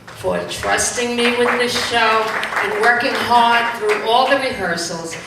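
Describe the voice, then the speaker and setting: Woman director addressing a live audience with thanks, unhurried and emphatic, distant in a noisy, booming hall. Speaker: amateur theatre writer and director. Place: large hall, live stage event. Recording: very echoey noisy hall, far off.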